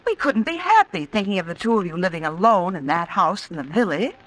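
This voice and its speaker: An actress playing a sugary, overbearing niece in an old radio drama, cooing and insistent. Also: female voice, on the low side, big pitch swings, brisk, fairly bright tone. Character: sugary, overbearing niece fussing over her aunts. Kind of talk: 1940s radio acting. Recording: noisy old radio recording.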